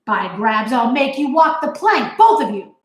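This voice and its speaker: A woman voicing a blustering, quick-tempered sea captain who roars and growls in a loud voice. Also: high-pitched, very animated, pitched above the performer's own voice, exaggerated voice. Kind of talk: audiobook character voice. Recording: roomy echo.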